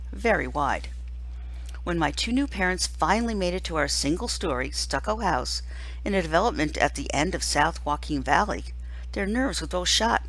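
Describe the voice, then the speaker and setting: Lively woman reading a novel aloud. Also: low-pitched, breathy edge, poor audio. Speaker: teacher reading a book aloud. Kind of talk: expressive audiobook-style read-aloud of a first-person children's novel.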